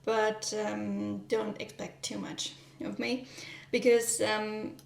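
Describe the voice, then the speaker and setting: A German woman with a German accent, vlogging in a gentle, hesitant way with frequent ums while baking in her home kitchen. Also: medium pitch, level tone, slow, creaky, reverberant. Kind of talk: soft-spoken vlog narration.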